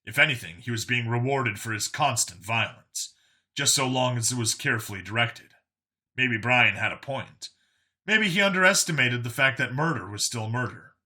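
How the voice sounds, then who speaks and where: A man's voice: mid-range voice, lively intonation, moderate pace, bright, forceful tone. A man reading scripted fiction as an audiobook narrator.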